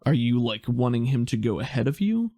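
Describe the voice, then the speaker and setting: A man narrating as game master in a casual tabletop session, relaxed and hesitant, thinking aloud with many fillers. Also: mid-range voice, fairly quick, vocal fry, dry recording.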